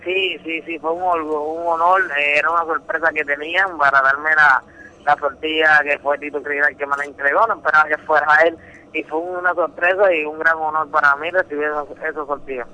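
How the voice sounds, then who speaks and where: A young Puerto Rican man speaks Spanish with a Puerto Rican accent, answering a radio interview over the phone. His voice sits a little high and sounds bright and forceful. He tells his story earnestly and repeats words as he goes. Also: fairly even intonation, a little fast, poor audio. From Puerto Rico. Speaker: interviewed boxing champion.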